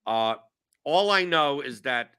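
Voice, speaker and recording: A man's voice: medium pitch, animated, takes it slowly, bright, forceful tone, clean tone. A man in his forties from New York, hosting a casual show in a rambling, self-mocking way with lots of restarts. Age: 40s. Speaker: show host. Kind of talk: casual chat.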